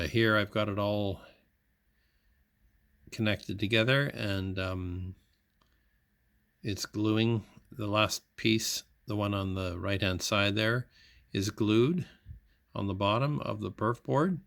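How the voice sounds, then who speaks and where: A man giving an unscripted how-to narration as a hobbyist, matter-of-fact and hesitant, often pausing mid-sentence. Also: fairly low pitch, creaky, fairly bright tone, no room sound, clean studio sound. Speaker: hobbyist electronics repair demonstrator.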